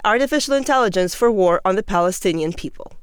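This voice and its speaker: A woman's voice: mid-range voice, animated, fast talker, over music. A woman hosting a radio news show, reading a scripted narration.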